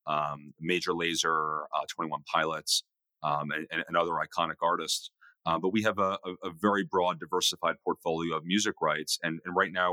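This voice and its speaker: A man with a very low voice, speaking in a casual interview with many fillers and restarts. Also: bright, forceful tone, dry recording. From New York area. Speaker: guest, music investor.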